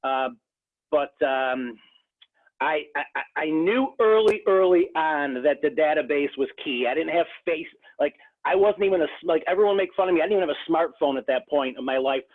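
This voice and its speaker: A real estate agent from the Rochester, New York area, talking earnestly in a casual interview, with many restarts. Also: male voice, a little high, moderate pace. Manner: earnest, frequent restarts and fillers. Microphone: phone. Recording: tinny phone line.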